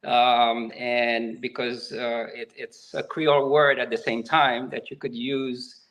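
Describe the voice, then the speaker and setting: Haitian-born man, 50s, guest on a video call, thoughtful, pauses a lot, mid-pitched voice. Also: flat delivery, fairly bright tone, rough recording. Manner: reflective, halting with many fillers. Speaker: guest, nonprofit executive director. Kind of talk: casual interview talk.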